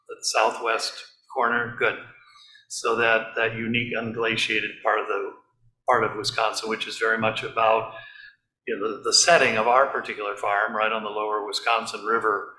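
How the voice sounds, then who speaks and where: A man giving an informal talk to a group, relaxed and halting, with many fillers. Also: medium pitch. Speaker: speaker leading a group talk. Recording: echoey room.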